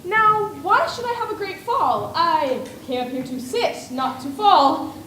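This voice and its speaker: A woman's voice: very high voice, animated, measured pace, a bit bright, reverberant, far from the mic, a little hiss or hum, exaggerated voice, rough recording. A woman playing a fussy, touchy male egg in an amateur stage play. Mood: panicky. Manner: huffy, prim, easily offended.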